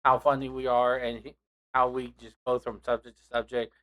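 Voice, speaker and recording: A young man hosting a casual podcast chat with friends, talking in a relaxed, rambling way with restarts. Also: medium pitch, brisk. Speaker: podcast host.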